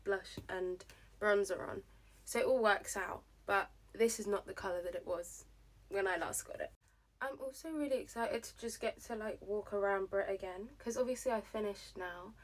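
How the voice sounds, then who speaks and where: A young woman chatting casually through her get-ready-with-me routine, rambling with lots of fillers, her voice slightly hoarse. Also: mid-range voice. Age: young adult. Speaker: vlogger.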